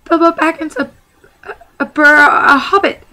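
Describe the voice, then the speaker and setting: A woman's voice: sits high, unhurried, voice pushed up, rough recording. A woman voicing a small, frightened male hobbit who stammers and pleads as she reads a storybook aloud. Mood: stammering.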